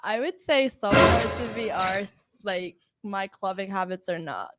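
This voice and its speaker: A nineteen-year-old woman, a student, speaking softly as a podcast guest. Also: mid-range voice, a little slow, loud music behind the voice. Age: young adult. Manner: soft-spoken, off-mic at times. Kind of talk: casual chat.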